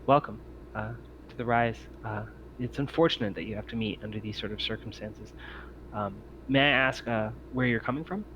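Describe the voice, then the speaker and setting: A man voicing a calm, courteous android in a tabletop game, speaking in a measured, formal way. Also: fairly low pitch, dull audio, slight background noise, close to an everyday voice. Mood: polite. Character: calm, polite, well-groomed male android ship steward.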